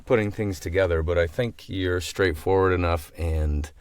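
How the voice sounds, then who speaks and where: A man hosting a casual podcast interview, halting as he thinks aloud. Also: low voice, smooth. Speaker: podcast host.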